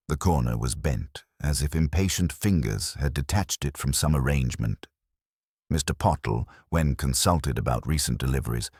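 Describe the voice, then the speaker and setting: A man narrating a scripted detective mystery in a formal, measured storytelling manner. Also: a bass voice, husky, no room sound, studio quality.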